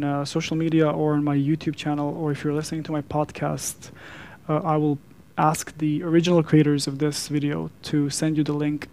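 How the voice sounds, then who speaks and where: A man with a Serbian accent, native in Serbian, speaks in a slightly high voice with a strong creaky edge. He delivers an earnest talk as a speaker and coach. His wording is formal and deliberate, set off by emphatic pauses. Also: mellow. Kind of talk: earnest public talk to a digital audience.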